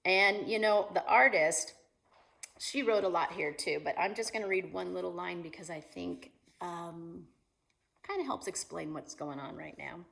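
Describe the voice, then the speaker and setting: A woman teacher reading a picture book aloud to children in an expressive, animated way, asking her young listeners questions. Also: lowish voice, a little slow, creaky. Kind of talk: animated children's story time read-aloud.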